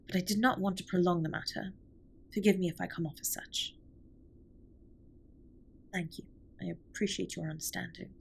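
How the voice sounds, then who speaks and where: Alien spaceship captain played by a woman, audio roleplay. Soft husky voice, slightly low, precise formal diction, gentle earnest care. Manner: formal, stiffly precise, measured wording. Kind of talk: scripted intimate comfort audio roleplay.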